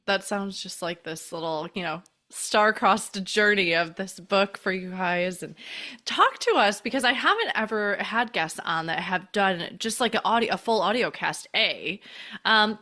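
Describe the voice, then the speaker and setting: A woman's voice: medium pitch, bright, forceful tone. A woman hosting a podcast, asking her guests questions in a casual, friendly way, laughing along and affirming. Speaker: podcast host. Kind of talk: casual interview chat.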